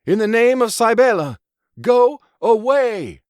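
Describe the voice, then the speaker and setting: A man's voice: extremely high pitch, very animated, measured pace, clean tone, dry recording, studio quality, voice pushed up, exaggerated voice. A man voicing a desperate, devout woman who bellows commands in a ragged voice, for an audio horror story. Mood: desperate shouting.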